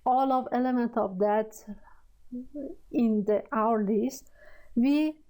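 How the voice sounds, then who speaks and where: A woman with a Persian accent, a native Persian speaker, teaching a coding lesson in halting, hesitant speech full of fillers. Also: on the high side, dark tone. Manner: halting, searching for words, many fillers. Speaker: programming tutor. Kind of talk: screen-recorded coding tutorial.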